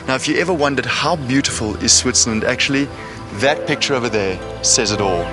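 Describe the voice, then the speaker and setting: A man from South Africa presenting a travel show on location, upbeat and enthusiastic. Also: medium pitch.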